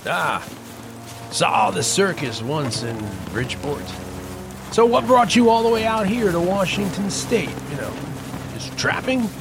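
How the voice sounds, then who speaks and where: An actor playing an eager, inquisitive young newspaper reporter in a western audio drama, a little hesitant and nervous. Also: male voice, high-pitched, sing-song, a little slow, vocal fry, over music, exaggerated voice. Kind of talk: western audio drama acting with first-person narration.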